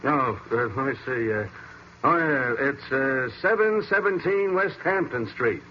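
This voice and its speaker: An actor playing an easygoing, somewhat hesitant American police sergeant in an old-time radio drama. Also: male voice, medium pitch, lively intonation, unhurried, close to an everyday voice. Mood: casual, friendly. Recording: muffled, hissy vintage radio recording.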